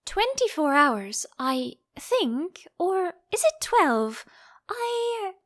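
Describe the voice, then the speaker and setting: A woman's voice: very high voice, sing-song, very slow, fairly bright tone, voice pushed up, heavily acted. A woman voicing a polite, earnest storybook little girl with a prim manner, eager to show what she knows.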